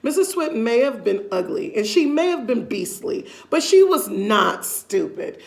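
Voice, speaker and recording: Creaky-voiced woman reading a children's story aloud. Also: fairly high pitch, sing-song, a little slow. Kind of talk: expressive children's story reading with casual asides.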